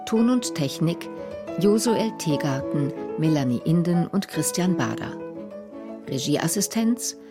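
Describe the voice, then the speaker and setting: Slow low-voiced woman announcing credits. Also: lively intonation, music in the background. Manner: slow, formal reading of names.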